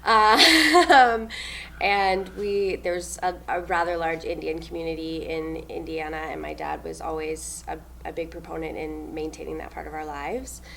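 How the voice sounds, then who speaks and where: A young adult woman from New Zealand, a stage manager answering interview questions in a loud, upbeat way, often laughing. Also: sits low, level tone, moderate pace, creaky, a little hiss or hum.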